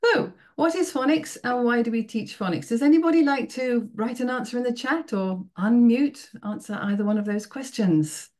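A woman's voice: on the high side, normal speed, few pauses, darkish tone. A middle-aged woman from the United Kingdom with a British accent, giving an online workshop to teachers in a friendly, encouraging way. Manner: friendly, encouraging, checks in with "okay?" often. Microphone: video call.

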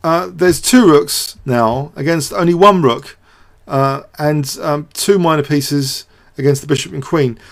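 A man's voice: fairly high pitch, unhurried, gravelly, hardly any pauses. A man giving off-the-cuff game commentary as a show host, hesitant and thinking aloud with repeated words.

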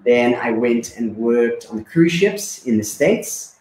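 Relaxed man from Zimbabwe in an echoey room. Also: medium pitch, slow pace. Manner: relaxed, with many fillers and repeats. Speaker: guest. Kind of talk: casual conversation.

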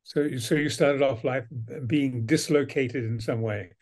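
A man in his seventies or older hosting a video call interview in a formal, courteous, measured way. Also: medium pitch. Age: 70s or older. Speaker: host, institute director. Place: indoor book-lined study, video call.

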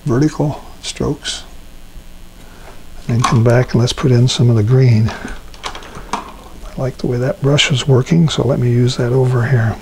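An older man demonstrating a watercolor painting as an instructor, talking himself through each step with frequent ums and pauses. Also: mid-range voice, noisy background. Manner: relaxed, thinking aloud with many ums. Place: art studio at a painting table.

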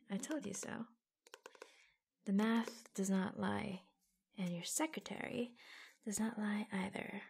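A woman playing an affectionate, reassuring secretary in a soft-spoken ASMR roleplay, gently hesitant and often dropping into a whisper. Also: mid-range voice, slight background noise. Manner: gentle, hesitant, pausing between short phrases.

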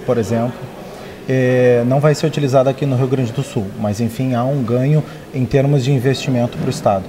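A man in his thirties, a newspaper editor, explaining a story in a live interview with frequent hesitations. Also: mid-range voice. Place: open-plan newsroom.

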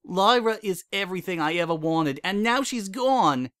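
A man voicing a heartbroken young female pony who speaks in a cold, flat tone that breaks into sobbing, in a dry recording. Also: high voice, normal speed, dry recording, pitched above the performer's own voice.

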